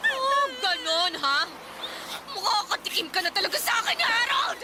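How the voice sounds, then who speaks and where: A woman's voice: very high voice, big pitch swings, moderate pace, crowd noise, noisy background. A woman playing a fierce, indignant and protective elder sister in a Tagalog audio drama. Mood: furious. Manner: indignant, emphatic.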